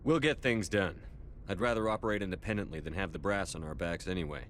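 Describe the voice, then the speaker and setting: Actor as brisk, steady space commander. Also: male voice, low voice, slight background noise, lightly acted. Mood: reassuring. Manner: direct, composed, inquiring. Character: steady, approachable male military commander in space. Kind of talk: video game dialogue acting.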